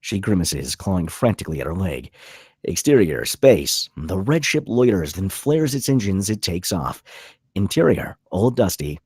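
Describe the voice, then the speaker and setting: A man's voice: low voice, big pitch swings, normal speed, darkish tone, breathy edge, no echo, studio quality. A man reading stage directions as dramatic scripted narration at a table read.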